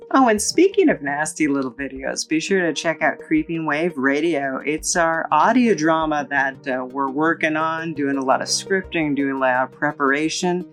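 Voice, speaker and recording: Bright, echoey voice of a playful reviewer. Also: medium pitch. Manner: playful, tongue-in-cheek. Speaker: host of a comic book review show. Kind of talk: casual scripted review with asides. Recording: echoey, distant microphone, music underneath. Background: music.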